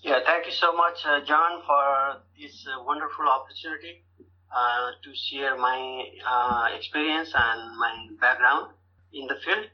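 A middle-aged man from Nepal with a Nepali accent, giving halting interview answers as a podcast guest, repeating words as he goes. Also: medium pitch, fairly even intonation. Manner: halting, repeats words and often checks with "right" and "you know". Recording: dull lo-fi audio with loud-ish background noise.

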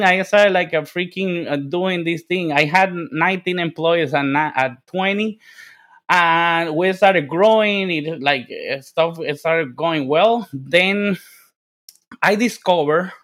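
A man with a Dominican Spanish accent speaks in a high, eager voice. He tells his story at full energy, repeating words and restarting his sentences. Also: unhurried, a bit bright. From Dominican Republic. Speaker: guest, business development consultant. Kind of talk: casual interview storytelling.

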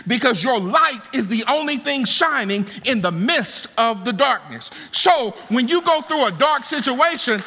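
Male preacher, dynamic sermon delivery, bright and forceful, big reverberant church. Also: lively intonation, a little slow, hardly any pauses. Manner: dynamic, swings from soaring to low conversational asides. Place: church auditorium. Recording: long hall reverb.

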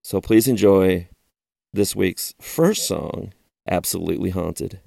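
A man's voice: low-pitched, slow pace, vocal fry, mellow, dry recording, clean studio sound. A man hosting a podcast, talking to listeners as its host and songwriter.

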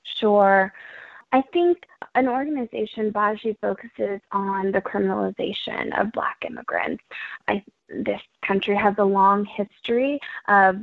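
An earnest woman speaking in a measured way, her voice low with gravelly fry. She comes through a tinny, dry phone line, with no room around her. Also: no echo. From Ethiopia. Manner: earnest, measured, frequent ums. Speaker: immigrant rights organizer. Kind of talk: interview answers.

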